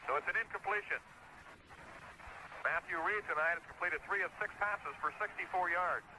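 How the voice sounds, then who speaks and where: A high-voiced American man does live football play-by-play in short, descriptive phrases. The recording is a noisy 1970s radio broadcast from a stadium. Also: moderate pace.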